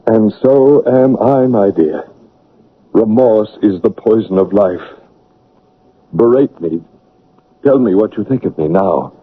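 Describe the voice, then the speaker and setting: An actor playing an anguished, brooding English gentleman with a dark secret in a classic radio drama, husky, pleading and impassioned. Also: lowish voice, takes it slowly, pauses often. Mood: remorseful. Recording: narrow, tinny old radio broadcast.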